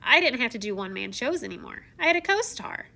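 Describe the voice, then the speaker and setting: Storybook prince-turned-beast, read by a woman: dramatic, self-mocking, confiding, bright higher voice. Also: sing-song, fast talker, gravelly, full character voice. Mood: wistful, warm. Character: theatrical, once-shy young prince turned beast, telling his tale. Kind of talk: first-person storybook read-aloud.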